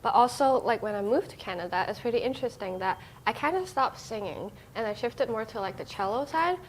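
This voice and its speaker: A woman's voice: on the high side, animated, slight background noise, low-quality recording. Young woman guest. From Hong Kong. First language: Cantonese. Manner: thoughtful, halting, many fillers. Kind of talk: casual podcast chat.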